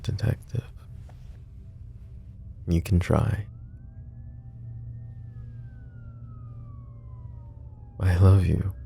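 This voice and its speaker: A man playing a gravelly, lovesick mafia boss. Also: sits low, full character voice. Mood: tender farewell. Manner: soft, intimate, drops to whispers; wry, self-mocking humor. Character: lovesick brooding young male mafia boss. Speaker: voice actor. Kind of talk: ASMR roleplay.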